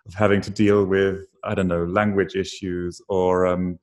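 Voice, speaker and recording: A man hosting a film Q&A over a video call. He asks long, winding questions, hedging with fillers as he goes. His voice sits a little low. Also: little pitch movement, moderate pace, a little mellow. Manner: hesitant questions with many fillers. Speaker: moderator. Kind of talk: online Q&A moderating. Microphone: computer mic on a video call.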